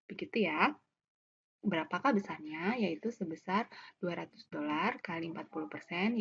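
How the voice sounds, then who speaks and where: Indonesian lady lecturer explaining stuff step by step, lowish voice, kinda muffled audio w background noise. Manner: didactic, poses questions and answers them. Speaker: accounting lecturer. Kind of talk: explanatory lesson, walking through worked examples.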